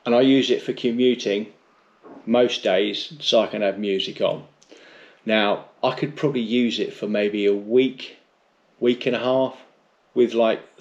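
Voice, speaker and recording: A man's voice: medium pitch, creaky, darkish tone, highs cut off. A middle-aged British man giving a casual, unscripted product review in a blunt, chatty, rambling way with mild swearing. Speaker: motorcycle vlogger reviewing gear.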